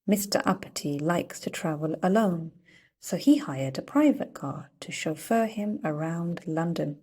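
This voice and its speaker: A woman reading a children's story aloud in a playful, deliberate storytelling manner. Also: lowish voice, animated, mellow, slightly rough. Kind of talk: read-aloud storybook narration.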